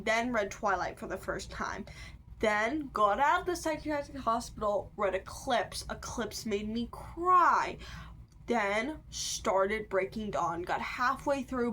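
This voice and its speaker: A woman's voice: a little high, fairly bright tone, a little hiss or hum. A nineteen-year-old woman chatting and ranting about books, rambling with lots of fillers and self-corrections. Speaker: book vlogger. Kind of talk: casual chatty book review rant.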